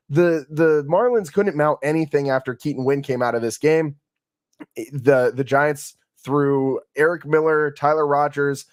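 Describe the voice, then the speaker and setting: A man hosting a sports podcast, hoarse from yelling the night before, talking through a game recap in a conversational way with frequent restarts. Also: medium pitch, no room sound, clean studio sound. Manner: conversational, stumbles and restarts often. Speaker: podcast host. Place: home studio.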